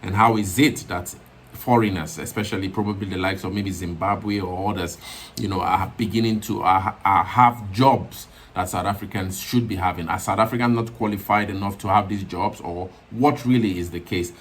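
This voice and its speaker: A man's voice: sits low, steady tone, normal speed, husky. A man hosting a commentary channel, talking in a rambling way with many restarts, fillers and self-corrections. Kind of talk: video commentary monologue.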